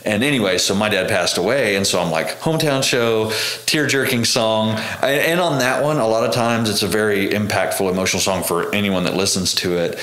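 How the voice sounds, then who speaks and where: Low-voiced American man, casual storyteller. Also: a little fast, a bit bright, echoey room. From Oklahoma, United States. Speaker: touring bass player, podcast guest. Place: small indoor room. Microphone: podcast microphone close in front.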